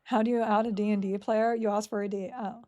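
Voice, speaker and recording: A woman at her desk mic, chatting casually while she plays a game live on stream. Her voice is mellow and soft-edged at an everyday pitch. She talks in a rambling, giggly way, trailing off and picking up again. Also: flat delivery, brisk, muffled recording. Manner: chatty, rambling, giggly, reads chat aloud.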